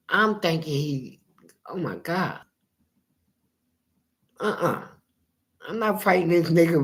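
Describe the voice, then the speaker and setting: Gravelly man telling a street story. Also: sits high. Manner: animated street storytelling, acting out dialogue, profane. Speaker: interviewee telling his life story. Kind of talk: casual storytelling.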